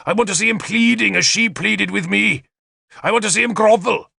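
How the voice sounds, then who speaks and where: A man's voice: high-pitched, moderate pace, creaky, sharp tone, husky, pitched above the performer's own voice, heavily acted. A man voicing an imperious, vengeful warlord king in a menacing, declamatory manner, as in an audiobook. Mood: furious.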